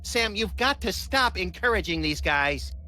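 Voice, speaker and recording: A manic rabbit-like sidekick needles everyone around him in a bright, sharp voice. His pitch bounces lively, and there's a faint hiss under the game audio. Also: a little slow, heavily acted. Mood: snarky. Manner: snarky, mocking. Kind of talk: video game voice acting.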